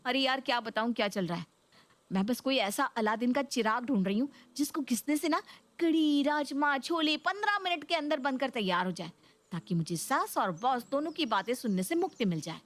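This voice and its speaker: A woman's voice: sits high, expressive, brisk, dry recording. A woman voicing an exasperated, pleading young working daughter-in-law in a Hindi cartoon story. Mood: venting.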